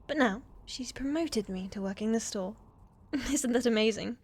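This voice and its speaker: A woman's voice: a little high, moderate pace, a little mellow, slightly rough. A woman playing a shy, sweet young witch's apprentice in an ASMR fantasy roleplay, polite, hesitant and eager to please.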